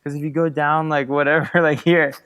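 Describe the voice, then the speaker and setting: A man's voice: on the high side, level tone, fairly quick, fairly bright tone, smooth, dull audio. A young man rambling as a guest on a casual podcast interview, packing his talk with fillers and going off on tangents. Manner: rambling, full of "like", chuckling.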